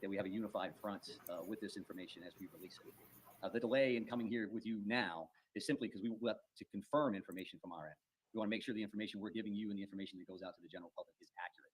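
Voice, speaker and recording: A man speaking as a public safety official, giving a formal press briefing in measured officialese. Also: fairly low pitch, breathy edge, low-quality recording.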